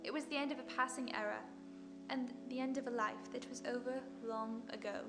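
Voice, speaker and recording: A woman playing a calm, authoritative female detective in a radio play, speaking in a measured way. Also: on the high side, music in the background, lightly acted. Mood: composed. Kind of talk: 1940s radio acting.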